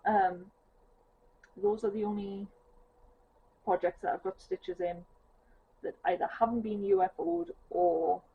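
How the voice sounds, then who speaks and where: Geordie woman, casual chatty vlogger; fry in the voice, faint hiss behind. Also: medium pitch, a little slow, long pauses. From northeast England. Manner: chatty, rambling with many ums. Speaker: craft vlogger. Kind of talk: casual chat to camera. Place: home living room, sitting on a sofa. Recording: tinny phone-like sound with faint hiss.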